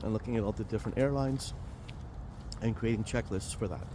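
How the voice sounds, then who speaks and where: A man hosting a casual vlog while walking outdoors near a highway, chatty and rambling with many fillers and restarts. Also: fairly quick, noisy background. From Romania. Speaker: vlog host, financial planner.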